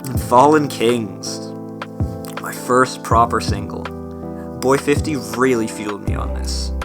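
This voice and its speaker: A young-sounding independent rapper, a man with a slightly gravelly strained voice, talking earnestly and rambling with restarts on a cheap home mic. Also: medium pitch, measured pace. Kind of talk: spoken outro over a beat. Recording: spoken over clear background music. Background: guitar music bed.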